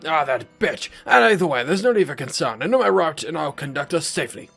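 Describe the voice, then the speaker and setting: A man's voice: fairly high pitch, expressive, brisk, gravelly, fairly bright tone, husky, soft background music, full character voice. A man playing a self-assured, theatrical cave guide with a pompous manner. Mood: confident, grand. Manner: theatrical, confident.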